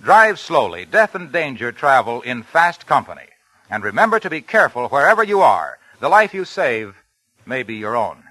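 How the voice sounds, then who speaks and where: A man's voice: mid-range voice, sing-song, normal speed, sharp tone, rough recording. An American man announcing in scripted 1940s radio style, with a polished, emphatic sponsor's delivery. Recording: vintage 1940s radio broadcast.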